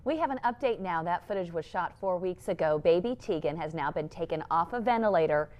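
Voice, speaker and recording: A woman's voice: lowish voice, expressive, a little fast, muffled recording, faint music behind. A woman anchoring a TV newscast, reading scripted stories and teases. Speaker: TV news anchor. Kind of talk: scripted news presenting.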